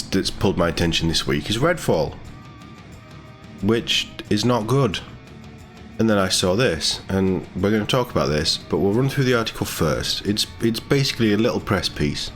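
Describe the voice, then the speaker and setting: A man's voice: low-pitched, big pitch swings, moderate pace, soft background music. A British man presenting gaming news in a casual, sardonic way, mixing read-out text with his own commentary. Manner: sardonic, sweary asides. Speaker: gaming YouTuber.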